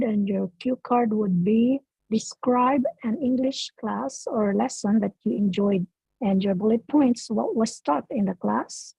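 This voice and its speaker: A woman acting as examiner on a video call, reading out speaking test questions in a measured way and repeating the instructions. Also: fairly high pitch, creaky, dark tone, breathy edge, no room sound. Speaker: IELTS speaking practice examiner. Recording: muffled video call audio.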